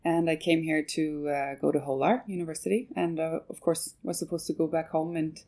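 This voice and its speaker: A woman who grew up in Canada and whose first language is German, speaking low with a gravelly fry. Her tone is dark and mellow. She answers at length and hesitantly, with many fillers and restarts. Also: level tone, brisk. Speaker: guest, manager of a marketing project. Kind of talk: interview answers.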